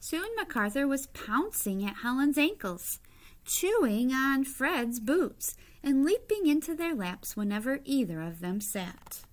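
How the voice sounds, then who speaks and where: A woman's voice: high voice, big pitch swings, unhurried. A woman reading a picture book aloud like a teacher, explaining as she goes and putting simple questions to young listeners.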